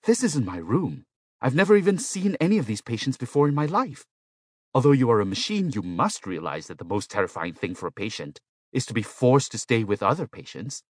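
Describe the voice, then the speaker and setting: A man's voice: medium pitch, normal speed, no echo, clean studio sound, pitched above the performer's own voice, natural voice. A man voicing an anxious, indignant male hospital patient in an audiobook story, pleading and complaining. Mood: agitated protest.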